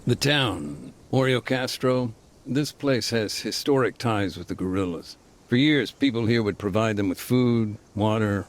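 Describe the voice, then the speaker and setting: An actor playing a middle-aged, war-weary former peacekeeper, speaking plainly with military jargon and dry humor, in a game cutscene. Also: male voice, fairly low pitch, a little slow, natural voice. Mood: reflective. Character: war-weary male ex-peacekeeper telling his story. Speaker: interviewee. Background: music.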